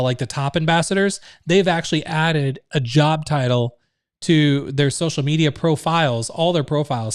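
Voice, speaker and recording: A man's voice: a little high, clean tone, no echo, clean studio sound. A man hosting a live online training, explaining steps in a loose, casual way full of fillers and restarts. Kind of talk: live screen-share training.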